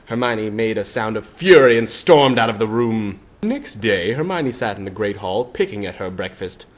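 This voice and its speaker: Low-voiced man reading a story dramatically. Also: animated, moderate pace. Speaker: narrator, reader. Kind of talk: mock-dramatic fan fiction reading.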